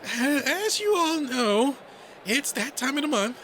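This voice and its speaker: A man's voice: very high voice, lively intonation, a little slow, fairly bright tone, slight background noise, pitched above the performer's own voice, heavily acted. A man voicing a timid little male rabbit in an audio story, announcing in a stammering, hesitant, nervous manner.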